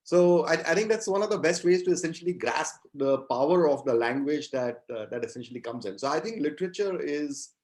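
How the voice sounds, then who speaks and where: Halting, reflective man in his fifties. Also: a little high, expressive, darkish tone. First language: Gujarati. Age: 50s. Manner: halting, reflective, repeats phrases. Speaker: interview guest, poet and entrepreneur. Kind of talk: conversational interview answers.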